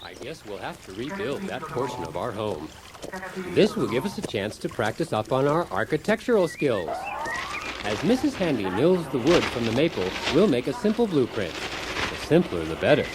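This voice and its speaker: Man playing an animated, earnest bumbling handyman husband. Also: medium pitch, normal speed, lightly acted. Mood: upbeat, instructive. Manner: theatrical, mock-earnest instructor. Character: cheerful, accident-prone handyman husband, earnestly instructing. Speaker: host of a spoof do-it-yourself radio show. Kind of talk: scripted comic instructional narration in radio theater. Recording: clearly noisy, busy effects behind.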